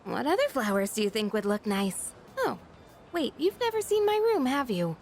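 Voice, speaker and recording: A woman voicing a friendly, earnest, slightly shy teenage schoolgirl in a video game, soft-hearted and reflective. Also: fairly high pitch, very animated, normal speed. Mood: cheerful, playful. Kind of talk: English video game voice acting. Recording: background music under the voice.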